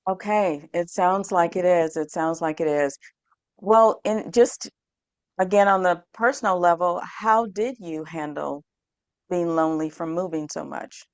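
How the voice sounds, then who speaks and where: A woman hosting an interview who asks slow, hesitant questions and often restarts them. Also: lowish voice, fairly even intonation, clear, resonant tone, dry recording. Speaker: host.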